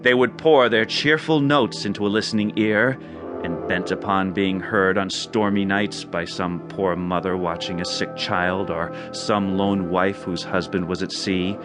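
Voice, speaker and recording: A man with a fairly low voice narrates a dramatized tale. His delivery is theatrical and deliberate, and loud music runs behind him. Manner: theatrical, measured storytelling.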